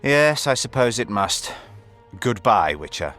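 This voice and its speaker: A scheming aristocratic count, medium in pitch with lively swoops. His speech is formal, measured and insinuating, set over faint game music. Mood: feigned concern. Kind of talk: video game voice acting.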